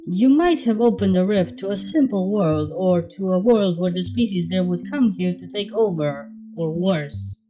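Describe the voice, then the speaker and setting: Woman as a guarded, mellow-voiced unicorn mare. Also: lowish voice, expressive, moderate pace, few pauses, close to an everyday voice. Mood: serious. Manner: dry, understated. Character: guarded young unicorn mare, dry and modest. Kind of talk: fan fiction character reading. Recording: dull, muffled sound under music.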